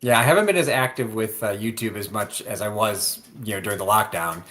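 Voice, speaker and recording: A man with a Midwestern accent co-hosts a live online sale. He chats in a relaxed way with a lowish voice. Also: a little fast. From Chicago suburbs. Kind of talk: casual live-stream chat.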